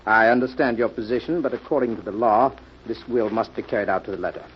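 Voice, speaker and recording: An actor playing a courteous family lawyer, speaking in a formal, measured way in 1940s radio drama style. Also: male voice, lowish voice, natural voice. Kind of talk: 1940s radio mystery acting. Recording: dull, noisy old radio recording.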